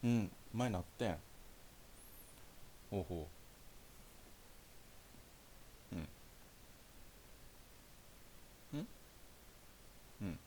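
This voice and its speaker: A man's voice: fairly low pitch, a little slow, mellow, slight background noise, lightly acted. A young man with a Kansai Japanese accent playing a caring boyfriend in a naturalistic phone-call audio drama, chatting casually and hesitantly. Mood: concerned, casual. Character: caring young boyfriend reassuring his anxious girlfriend.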